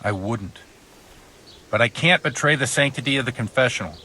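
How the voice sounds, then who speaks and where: An actor playing a worldly, kindly but principled middle-aged village priest in a video game, speaking in a measured, fatherly way. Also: medium pitch, lively intonation, a little hiss or hum. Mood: grave, conciliatory. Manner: measured, fatherly, gently philosophical. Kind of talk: video game cutscene acting.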